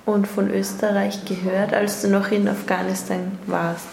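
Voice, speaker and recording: A woman's voice: mid-range voice, flat delivery, measured pace, creaky, darkish tone. A woman, interviewing with short, simple questions in a patient way. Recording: echoey room with faint noise.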